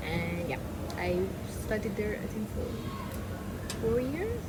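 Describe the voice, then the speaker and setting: A woman's voice: medium pitch, sing-song, takes it slowly. A young woman from Iran, a podcast guest, talking casually and searching for her words. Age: young adult. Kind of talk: casual chat. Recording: loud background noise.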